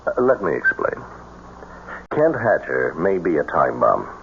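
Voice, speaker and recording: An actor playing a stern, suspicious middle-aged American colonel in an old-time radio drama, grave and formal in manner. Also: male voice, deep voice, wildly swooping pitch, measured pace, vocal fry, a bit bright, heavily acted. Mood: suspicious, commanding. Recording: muffled, noisy old radio drama recording.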